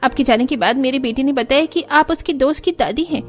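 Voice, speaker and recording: Wealthy lady boss, cartoon, female actor: high voice, earnest, apologetic delivery, faint music bed. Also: fairly quick, close to an everyday voice. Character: hot-tempered but kind wealthy female employer.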